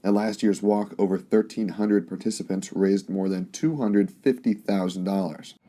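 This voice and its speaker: A man's voice: on the low side, fairly even intonation, normal speed, dark tone. A man reading scripted narration as a reporter for a news report.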